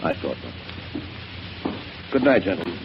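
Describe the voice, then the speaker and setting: An actor playing a cold, ruthless male villain in a 1930s radio drama, quiet and threatening. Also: sits low, sing-song, moderate pace. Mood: icy. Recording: dull old radio broadcast with hiss.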